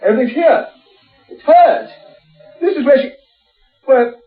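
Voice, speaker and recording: An actor playing a distraught, agitated man desperately searching for his sweetheart, in a halting, insistent radio drama style. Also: very high voice, expressive, narrow, tinny audio. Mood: frantic excitement. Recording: band-limited radio recording, music behind.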